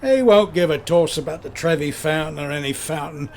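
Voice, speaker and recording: An actor playing a cocky, flirtatious British celebrity photographer of the 1960s in a radio drama, swaggering and slangy. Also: male voice, fairly high pitch, fairly quick, darkish tone, close to an everyday voice. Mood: boastful. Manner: swaggering, slangy, smooth-talking.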